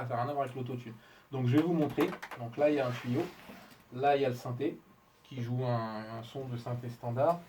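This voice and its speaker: A middle-aged man explains his craft as an interviewed musician, informal and colloquial, halting and correcting himself. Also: mid-range voice, darkish tone. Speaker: interviewed musician, talkbox player and producer. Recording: distant mic, noisy room background.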